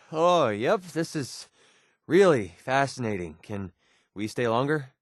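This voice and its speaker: A man's voice: mid-range voice, lively intonation, slow, no echo, studio quality. An actor playing a wry, jaded young male mercenary in a video game, with a casual, sarcastic, grumbling manner. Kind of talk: video game companion dialogue.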